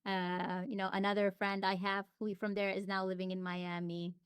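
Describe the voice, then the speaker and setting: A woman's voice: medium pitch, level tone, moderate pace, smooth, no room sound. A woman as an interview guest, talking casually and reflectively with frequent restarts and fillers. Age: adult.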